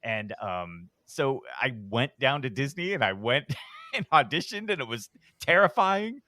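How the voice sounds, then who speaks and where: Deep-voiced man telling a story. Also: unhurried, no room sound, studio quality. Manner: relaxed, rambling, self-deprecating. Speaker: podcast host, guest. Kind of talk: casual storytelling.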